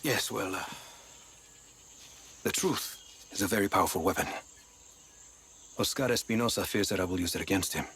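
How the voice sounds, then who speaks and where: A man's voice: sits low, normal speed, long pauses, a bit bright, slight background noise. An actor playing a wry, scholarly male archaeologist in a game cutscene. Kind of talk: video game cutscene voice acting.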